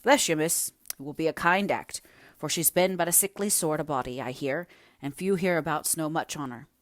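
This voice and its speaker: A woman's voice: sits low, moderate pace, dry recording, clean studio sound, natural voice. A woman voicing a blunt, practical working man who speaks emphatic northern dialect, in a storybook reading. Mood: resigned.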